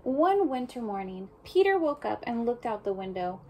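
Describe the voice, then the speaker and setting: A woman's voice: on the high side, very animated, moderate pace, dark tone, a little hiss or hum. A woman reading a picture book aloud to young children, speaking expressively and putting questions and asides to the listeners. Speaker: storybook reader for young children.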